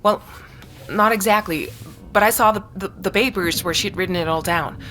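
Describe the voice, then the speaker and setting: A woman's voice: mid-range voice, moderate pace, a bit bright, soft background music, close to an everyday voice. A woman playing an anxious, stubborn, suspicious character in a naturalistic audio drama, earnest and easily stung. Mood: insistent, uneasy.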